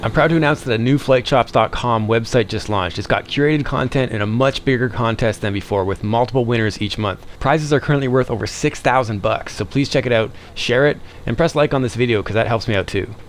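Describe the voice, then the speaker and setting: A man's voice: mid-range voice, a little fast, hardly any pauses, a little hiss or hum. A man hosting an online video, speaking in a scripted voice-over addressed to viewers. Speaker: video host, narrator.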